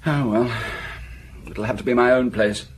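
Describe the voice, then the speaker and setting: A man's voice: medium pitch, moderate pace, background noise, close to an everyday voice. An actor playing a self-pitying, shabby middle-aged man in a radio drama, flustered and pleading. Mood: flustered, evasive. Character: shifty, self-pitying, down-at-heel middle-aged old school friend.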